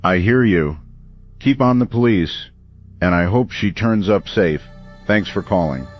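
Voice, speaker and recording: A man playing a calm, measured late-night radio call-in host. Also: low-pitched, no room sound, muffled recording, faint music behind.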